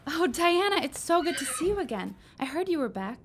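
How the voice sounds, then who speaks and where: An actress playing an excitable, exuberant young woman in an audio drama, breathless and emphatic. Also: sits high, a little fast. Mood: excited. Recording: clear background noise.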